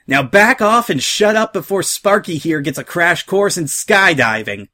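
A man voicing a brash, cocky young female pegasus pirate in a storybook, bossy and shouting. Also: high voice, lively intonation, a little slow, pitched above the performer's own voice, exaggerated voice. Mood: cocky threatening.

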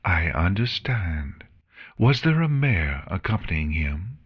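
A man voicing a calm, kind royal pony princess who speaks formally. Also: sits low, takes it slowly, husky, heavily acted. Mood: gentle.

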